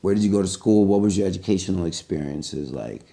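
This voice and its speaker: Deep-voiced man interviewing casually. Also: lively intonation, fast, creaky, warm tone. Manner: friendly, teasing questions. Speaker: podcast co-host.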